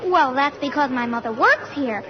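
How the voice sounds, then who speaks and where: A woman's voice: sits high, animated, moderate pace, some chatter behind. A female performer playing a precocious, skeptical little schoolgirl in a 1940s radio drama, polite and matter-of-fact. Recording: muffled vintage 1940s radio broadcast.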